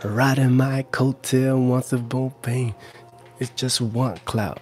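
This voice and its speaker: A man's voice: medium pitch, fairly even intonation, slow, darkish tone, soft background music. A man in his forties hosting a playful ASMR stream close to the mic, often whispering and repeating words and phrases. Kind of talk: ASMR live stream, whispered trigger words and casual chat. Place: small room at home with gaming screen. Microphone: close handheld recorder on stand with foam windscreen.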